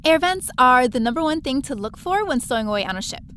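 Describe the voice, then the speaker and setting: A woman's voice: high-pitched, big pitch swings, fast talker, fairly bright tone, a little hiss or hum. A woman playing a plucky, wry adventurer who narrates her own story in a science fiction audio drama. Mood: wry, adventurous. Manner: wry, breezy. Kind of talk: first-person scripted narration, audio drama.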